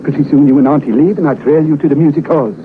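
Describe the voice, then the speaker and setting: Noisy tinny 40s radio, guy playing a smooth-mannered ruthless male spy, talks formal and a bit stilted, cynical. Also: a little high, fast talker, close to an everyday voice. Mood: worldly, insinuating. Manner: suave, formal, stilted foreign-sounding phrasing. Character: ruthless, cynical male anti-Nazi secret agent and driver. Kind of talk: 1940s radio drama acting. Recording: scratchy, noisy, narrow-band old radio recording.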